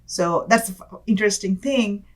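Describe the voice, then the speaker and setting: A young woman from Bangladesh, a guest in an interview, talking casually with many fillers and soft laughs. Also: mid-range voice, moderate pace. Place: campus studio.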